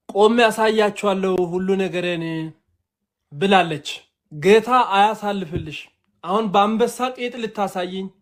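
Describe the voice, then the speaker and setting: Playful Ethiopian-accented man joking on a live stream. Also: high-pitched. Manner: playful, teasing, switches between broken English and Amharic.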